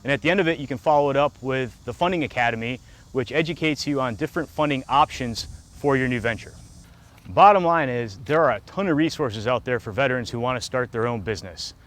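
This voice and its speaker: A man's voice: mid-range voice, fast talker, a bit bright. A man giving business advice in an informational, scripted narration style.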